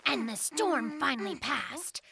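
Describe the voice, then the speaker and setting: A woman voicing an excitable, self-important young male polar bear cub who plays detective in a cartoon, speaking with bossy melodrama. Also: sits high, a little slow, gravelly, full character voice. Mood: dramatic narrating. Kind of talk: cartoon acting with mock detective narration.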